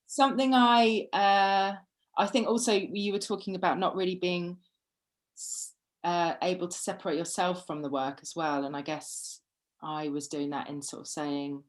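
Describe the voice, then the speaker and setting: A woman's voice: fairly low pitch, level tone, moderate pace. A woman artist in conversation, tentative, often trailing off with frequent ums. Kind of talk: conversation about art.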